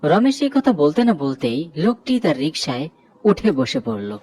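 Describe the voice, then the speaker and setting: Man narrating horror with lively, swooping pitch. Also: normal speed, a little hiss or hum. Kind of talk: scripted horror story narration. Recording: prominent music bed under voice.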